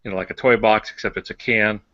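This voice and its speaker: Older American male podcast co-host, forceful low-ish voice, casual teaching tone, dull dry recording. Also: steady tone, fast, no echo. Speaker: podcast co-host on fiction writing. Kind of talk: casual instructive discussion.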